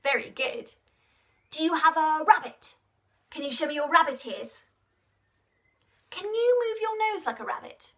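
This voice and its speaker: High bright-voiced woman, children's storyteller. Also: measured pace, pauses often, recorded from a distance. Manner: expressive, asks young listeners questions, encourages them to act along. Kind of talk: interactive children's storytime narration.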